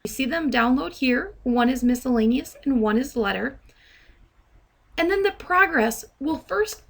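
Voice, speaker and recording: A woman software trainer narrating a step-by-step demo in a measured, instructional way. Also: fairly high pitch, creaky.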